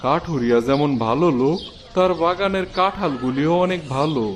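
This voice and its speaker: Man playing a high-voiced humble old villager. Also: animated, a little fast, slight background noise, close to an everyday voice. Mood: grateful, affectionate. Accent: rural eastern Bengali. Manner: folksy dialect speech. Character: humble old village jackfruit seller, grateful, kindly. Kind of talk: cartoon voice acting.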